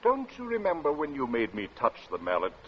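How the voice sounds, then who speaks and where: An actor playing a sly, unflappable, mockingly genial gentleman in a 1940s radio drama. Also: medium pitch, wildly swooping pitch, brisk. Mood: mock innocent. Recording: muffled hissy 1940s radio recording.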